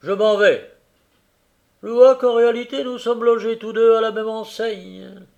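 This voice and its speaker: A man reading aloud and voicing a sardonic, quick-tongued male toy merchant in a storybook. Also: sits high, fairly even intonation, vocal fry, a little mellow, dull audio, pitched above the performer's own voice. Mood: smug. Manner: quick, mocking, brusque.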